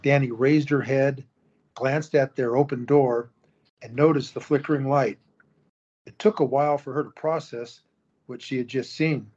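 A male narrator reads the scene directions in a measured way. His voice has a husky grain. The recording sounds dull and lo-fi. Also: mid-range voice, steady tone, a little mellow. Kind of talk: scripted narration.

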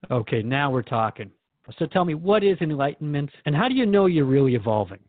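A man's voice: fairly high pitch, big pitch swings, fairly quick, no room sound, narrow, tinny audio. A man hosting a conversational radio interview, speaking informally and reflectively, with some hesitancy as he thinks aloud. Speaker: radio show host and interviewer.

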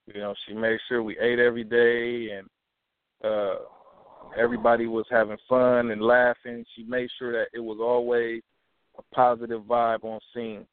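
A young man from Milwaukee, guest on an interview, talking in a relaxed, halting way with many restarts and "you know"s. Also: medium pitch, smooth, no echo. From Milwaukee, USA. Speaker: rapper and actor guest. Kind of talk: casual interview talk. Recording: tinny phone-like sound, dry.

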